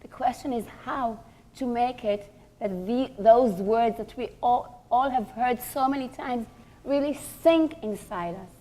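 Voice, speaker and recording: A woman's voice: fairly high pitch, a little slow, mellow. A woman giving a religious lecture, teaching emphatically, repeating key phrases and posing rhetorical questions.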